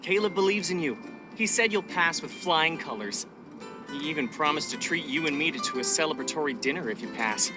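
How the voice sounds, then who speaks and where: Man acting an eager, high-pitched young pilot. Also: animated, a little fast, lightly acted. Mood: encouraging. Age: young adult. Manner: friendly, talkative, encouraging. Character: eager, chatty, awkward young male pilot colleague. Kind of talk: video game voice acting. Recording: game audio with music behind. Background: music.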